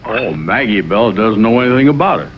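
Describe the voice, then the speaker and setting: Man as a slow-witted cartoon bear, lively intonation. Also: fairly low pitch, a little hiss or hum, lightly acted. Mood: earnest. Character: slow-witted, plain-spoken male cartoon bear sidekick. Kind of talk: 1960s TV cartoon sitcom acting. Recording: dull vintage TV audio with music.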